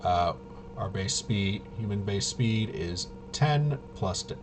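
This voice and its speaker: Male, fairly low pitch, casual muttering think-aloud game walkthrough host, noisy recording. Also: animated, slow pace. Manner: rambling, muttering to himself, playful interjections. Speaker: host of a tabletop RPG channel.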